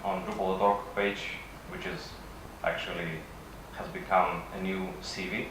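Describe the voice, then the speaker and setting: A man with a Russian accent, a native Russian speaker, giving a halting conference presentation with frequent pauses. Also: low voice, steady tone. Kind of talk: conference presentation, semi-improvised. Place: conference session room. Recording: echoey room from far off, faint noise.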